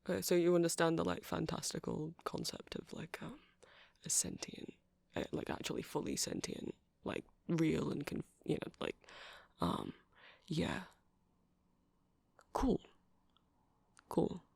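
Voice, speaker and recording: A soft-spoken man doing a rambling ASMR roleplay as a shopkeeper, hesitant and apologetic in manner. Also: a little high, very animated, unhurried, gravelly, breathy edge, no room sound. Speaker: character performer playing a shopkeeper.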